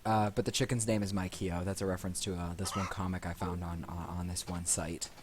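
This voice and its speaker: A man's voice: sits low, level tone, brisk, a little mellow, slight background noise. A man doing casual, rambling, self-deprecating let's play commentary, often repeating his phrases. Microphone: desk microphone picking up the room. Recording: game music behind, desk mic. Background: game music.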